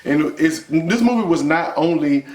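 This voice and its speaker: A man's voice: fairly high pitch, animated, normal speed, darkish tone. An American man in his thirties giving a casual, colloquial movie review as a vlog co-host, stressing points with short repeated phrases. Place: small indoor room with bare wall. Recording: echoey untreated room.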